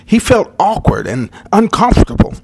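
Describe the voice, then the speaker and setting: A man tells a children's Bible story with dramatic delivery. His voice is high for a man. The intonation is lively and sweeps widely as he narrates. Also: moderate pace, gravelly, background noise.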